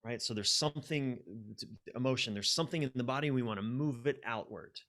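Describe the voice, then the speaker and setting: A man speaking as a coach and guest in a podcast conversation, explaining ideas reflectively and haltingly, repeating key words for emphasis. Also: medium pitch, a bit bright.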